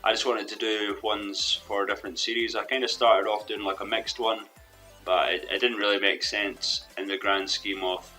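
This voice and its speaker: A young man commentating his own football management game in a rambling, hesitant way, his voice a little hoarse from losing it. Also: fairly low pitch, little pitch movement, normal speed, pushed and bright, rough recording. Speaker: gaming commentator. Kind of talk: let's play commentary. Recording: faint background music.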